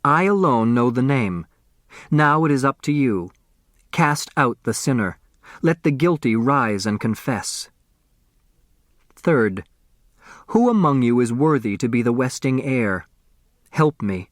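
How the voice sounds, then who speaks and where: A man's voice: mid-range voice, lively intonation, slow pace, long pauses, no room sound, clean studio sound. A man voicing a dead tycoon's commanding, theatrical will in an audiobook, in a pompous, oratorical manner. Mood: grave, accusing.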